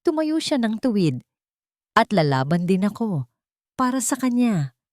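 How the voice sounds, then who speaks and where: A woman playing a grieving but resolute young woman in a dramatic audio story, speaking in a solemn, deliberate way. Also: medium pitch, big pitch swings, a little mellow, no room sound, studio quality, voice pushed up. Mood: defiant resolve.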